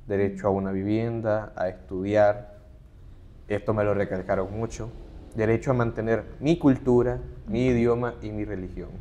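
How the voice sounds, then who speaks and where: Earnest middle-aged male advocate, somewhat low voice, steady explanatory interview delivery. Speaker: human rights advocate, interview guest. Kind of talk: conversational interview.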